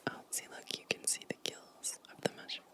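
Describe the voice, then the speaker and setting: A woman whispering gently and softly in an ASMR show-and-tell style, breathy and unhurried. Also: no echo. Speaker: ASMR video creator.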